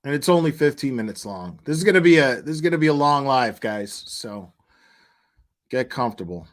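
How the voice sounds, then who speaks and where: Guy doing casual live commentary on a video, blunt and sweary, mid pitched voice. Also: animated, a little fast. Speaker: live stream host reacting to a video.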